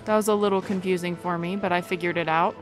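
A woman's voice: lowish voice, fairly even intonation, quick pace. A young woman giving casual, informal playthrough commentary, chattily thinking aloud as she plays. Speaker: gaming video creator. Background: game music.

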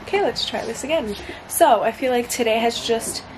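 A woman's voice: on the high side, moderate pace, a little hiss or hum, poor audio. A young woman in her twenties chatting casually to camera from her bedroom, bubbly and full of fillers. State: congested. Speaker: vlogger and college student. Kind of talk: casual vlog chat to camera. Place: small bedroom indoors. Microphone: camera at arm's length.

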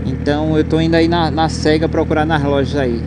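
A man's voice: fairly high pitch, steady tone, a little fast. A man from Recife with a Recife accent, chatting casually to viewers while riding a motorcycle, slangy and rambling, with a swear here and there. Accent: Recife Pernambuco Portuguese. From Recife, Northeast Brazil. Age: adult, has a nephew. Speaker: motorcycle vlogger. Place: on a motorcycle on suburban roads outdoors. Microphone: helmet headset mic. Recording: loud wind and road noise from riding. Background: loud wind and traffic noise.

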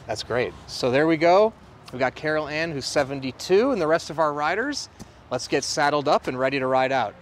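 A man's voice: on the high side, animated, brisk. A man hosting on camera outdoors, interviewing people in an upbeat presenter style. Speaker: host, company CEO. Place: outdoors by traffic. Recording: outdoor recording with clear street noise.

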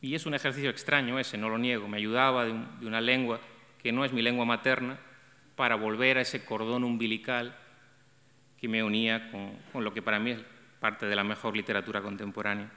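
Middle-aged man, prepared essay read aloud, bright forceful tone, table microphone, long hall reverb. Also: mid-range voice, level tone. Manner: measured, reflective reading with small restarts. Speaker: event coordinator presenting a talk on translation. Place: arts center stage, seated at a table.

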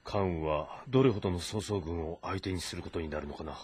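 An actor playing a grave, thoughtful warlord in a historical game drama, speaking with measured dignity. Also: male voice, sits low, a little mellow, a little hiss or hum, lightly acted. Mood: concerned.

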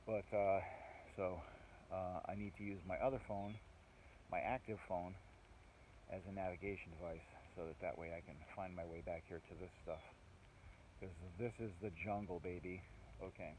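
A man's voice: deep voice, steady tone, moderate pace, long pauses, a little mellow. A middle-aged man vlogging alone while camping in the woods, chatty and thinking aloud with rambling asides, recorded on a phone outdoors. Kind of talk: casual solo camping vlog. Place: outdoors in a wooded island. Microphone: phone camera, handheld selfie or set down nearby. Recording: noisy outdoor phone audio. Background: birds and outdoor ambience.

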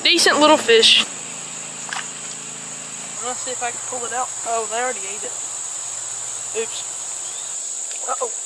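A man's voice: very high-pitched, animated, unhurried, pauses often. A man chatting casually to the camera while fishing by a creek, talking to himself as he goes. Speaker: outdoors vlogger. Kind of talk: casual fishing vlog chat. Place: outdoors by a creek among trees. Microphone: camera on a stand a few feet away. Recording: outdoor camera audio with insect noise. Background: insects and crickets chirping.

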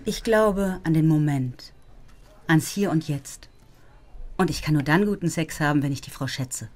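Schauspielerin als ruhige, sinnliche Frau mit tieferer Stimme. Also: female voice, sits low, a little slow, darkish tone. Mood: calm, candid. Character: calm, self-assured, sensual literature-loving woman. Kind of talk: German short radio drama acting.

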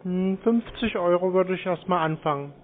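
A man with a high voice talks over a narrow phone line with faint noise. He plays a naive, contrary customer, answering with simple questions and objections. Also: normal speed. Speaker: prank caller stringing along phone scammers. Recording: noisy, tinny phone line.

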